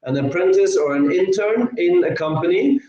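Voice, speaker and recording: A man's voice: high voice, animated, normal speed, mellow, echoey room, muffled recording. A man from Norway, a guest on an online interview, explaining at length with many fillers and restarts. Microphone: video call.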